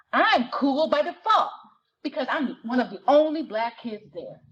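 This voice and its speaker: A woman performing a self-conscious, wry sixteen-year-old girl narrating her life in a slangy, expressive dramatic reading of a novel. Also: a little high, highs cut off. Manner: slangy, expressive, conversational storytelling.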